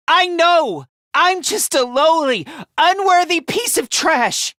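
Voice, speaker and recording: High-pitched, timid, self-loathing young guy. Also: pushed and bright, no room sound, studio quality, exaggerated voice. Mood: earnest, determined. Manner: meek, apologetic, hesitant with stammers and sighs. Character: timid, self-loathing young male student turned reluctant fighter. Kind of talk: anime video game voice acting. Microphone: close studio mic.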